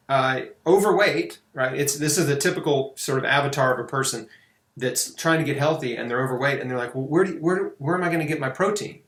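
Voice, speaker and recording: A man's voice: fairly high pitch, a bit bright. A man talking casually as host of a health interview, emphatic and often checking in with "right?". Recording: echoey room sound.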